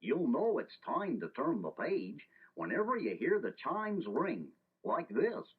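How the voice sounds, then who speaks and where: Dopey, wildly swooping cartoon dog. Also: male voice, fairly high pitch, huge pitch swings, slightly rough, full character voice. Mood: cheerful. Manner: slow, naive, bumbling. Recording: muffled 1980s story tape, faint music.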